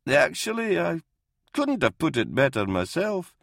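A man's voice: on the high side, huge pitch swings, measured pace, vocal fry, a bit bright, no echo, studio quality, voice pushed up, full character voice. A man voicing a frail but stubborn and dignified old regimental doctor in an audiobook, speaking earnestly and insistently. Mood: solemn, resolute. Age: older. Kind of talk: audiobook character voice in military science fiction.

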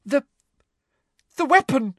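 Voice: mid-range voice, animated, a little slow, bright, forceful tone, no room sound, studio quality, pitched above the performer's own voice. A performer voicing a distressed teenage schoolgirl in an audiobook, tearful and stammering but defiant. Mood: choked, wailing. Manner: sobbing, stammering, gasping delivery.

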